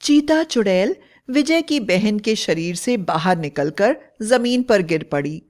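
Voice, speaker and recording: A woman's voice: mid-range voice, expressive, slow, a little mellow. A woman narrating a scripted horror fairy tale in a dramatic storytelling style.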